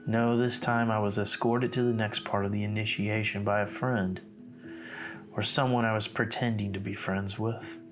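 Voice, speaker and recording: A man playing a guarded investigator who infiltrated a cult, narrating his account in a measured, somber, diary-like way. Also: fairly low pitch, little pitch movement, natural voice. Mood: guarded, reflective. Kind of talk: scripted horror narration, diary-like. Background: music.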